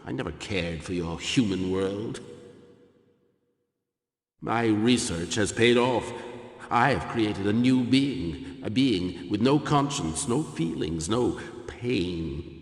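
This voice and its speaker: A man's voice: lowish voice, lively intonation, slow pace. A man playing a cold, menacing megalomaniac mad scientist in a video game, gloating and theatrical in his delivery. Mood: grandiose, menacing. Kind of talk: dramatic video game villain acting. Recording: echoey hall, long reverb.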